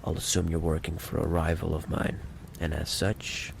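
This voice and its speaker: Man acting very deep gravelly menacing mafia boss. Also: monotone, slight background noise. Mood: calm menace. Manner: deliberate, theatrical, coolly condescending. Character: menacing, possessive male mafia boss, softly threatening. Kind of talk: ASMR roleplay monologue.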